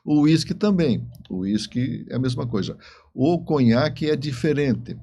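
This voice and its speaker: Brazilian man, mellow voice, explaining casually. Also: fairly high pitch, lively intonation, unhurried. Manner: explanatory, with repeated words. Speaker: guest author. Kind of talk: casual podcast conversation.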